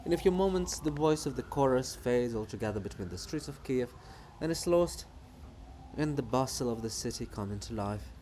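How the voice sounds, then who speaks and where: A man's voice: mid-range voice, lively intonation, normal speed, warm tone, slight background noise, poor audio. A man narrating a play, reading scripted stage directions as part of a dramatic reading.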